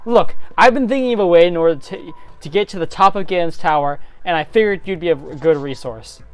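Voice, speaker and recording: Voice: mid-range voice, a little fast, clear, resonant tone, faint music behind, natural voice. A narrator voicing a brash, eager young male fantasy hero in a story reading. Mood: scheming.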